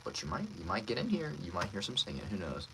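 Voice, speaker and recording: A man's voice: sits low, big pitch swings, fast, noisy background. A man who works as a voice actor, hosting a casual livestream and politely answering viewer comments with self-deprecating asides.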